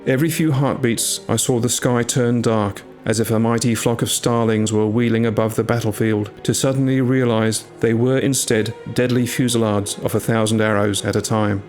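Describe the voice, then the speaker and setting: A man reading a scripted first-person tale in a measured, literary storytelling manner. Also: mid-range voice, steady tone, darkish tone. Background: music.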